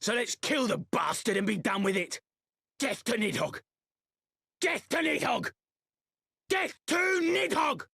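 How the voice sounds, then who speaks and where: An actor playing an angry townsperson rallying a mob in a video game, shouting and chanting for vengeance. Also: medium pitch, normal speed, vocal fry, hardly any pauses, slightly rough, no room sound, clean studio sound. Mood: vengeful fury. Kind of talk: game crowd-scene voice acting.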